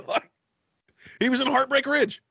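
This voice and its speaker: A man's voice: extremely high pitch, slow, bright, forceful tone, slightly rough, dry recording, dull audio. A man in his thirties co-hosting a podcast in casual chat, swearing freely and laughing often, over a video call from home. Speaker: podcast co-host. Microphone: studio mic on arm, headphones.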